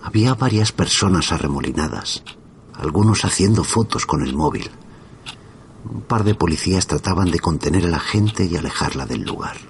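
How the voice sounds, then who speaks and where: A man from narrating a first-person horror story in a slow, hushed, suspenseful manner. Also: sits low, animated, a little hiss or hum.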